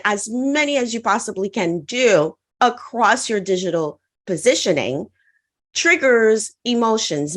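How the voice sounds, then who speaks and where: A woman's voice: fairly high pitch, fairly bright tone. A woman from the Caribbean whose first language is French, giving an online conference talk in an upbeat coaching manner and often asking the audience to answer in the chat. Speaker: conference speaker.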